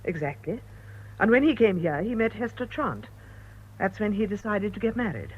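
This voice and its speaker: Troubled, proper British wife, lively intonation. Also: female voice, medium pitch, normal speed, breathy edge. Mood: grave, reflective. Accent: British English. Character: married former missionary wife, earnest, troubled, proper. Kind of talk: radio drama acting. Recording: dull lo-fi radio recording with noise.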